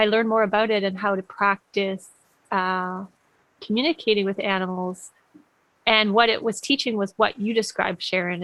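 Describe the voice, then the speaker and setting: A woman telling a personal story in a casual conversation, in a reflective way and with soft laughs. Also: medium pitch, no echo, low-quality recording.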